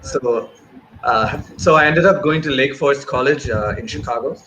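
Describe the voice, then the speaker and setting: A man's voice: mid-range voice, moderate pace, a bit bright. A man in his thirties talking conversationally as an interview guest, speaking on a video call through earphones. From grew up in Bahrain and Malaysia. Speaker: guest filmmaker. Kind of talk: conversational interview answer, recounting career. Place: indoor room, remote video call. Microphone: wired earphones on video call. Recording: noisy video-call audio.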